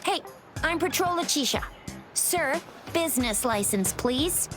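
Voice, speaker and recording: A woman playing a feisty, officious young street patroller in a video game, brisk and assertive. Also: high-pitched, lively intonation. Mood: stern, officious. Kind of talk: scripted video game acting. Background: music.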